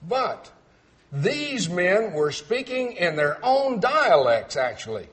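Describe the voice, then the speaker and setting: Male preacher, faint texan accent, clipped and enunciated, slightly high voice, animated pitch. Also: slow. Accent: Texan, trained toward clipped neutral speech. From Texas. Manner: clipped, clearly enunciated delivery, reads verses aloud with emphasis. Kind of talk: sermon teaching from scripture.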